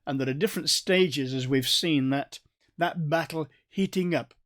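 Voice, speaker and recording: A man with a North Staffordshire accent giving a recorded Bible study in a conversational way, with restarts and gentle asides. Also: on the high side, moderate pace. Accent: North Staffordshire English. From England. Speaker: Bible teacher.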